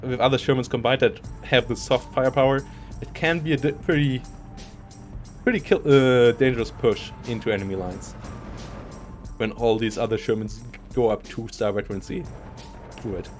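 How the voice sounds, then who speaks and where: A man's voice: medium pitch, steady tone, normal speed, faint music behind. A man casting a live strategy game match, analytical and chatty, laughing often. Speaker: esports caster.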